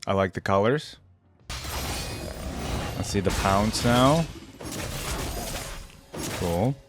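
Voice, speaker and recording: A man's voice: fairly low pitch, lively intonation, extremely slow. A man giving casual reaction commentary as a video host, musing and thinking aloud. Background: game music and sound effects.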